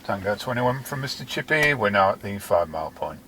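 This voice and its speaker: A man's voice: fairly low pitch, sing-song, a little fast. A man giving brief, matter-of-fact position reports as a mobile CB radio operator in a vehicle. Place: moving vehicle on the road. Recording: CB radio with loud vehicle noise. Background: loud vehicle and road noise.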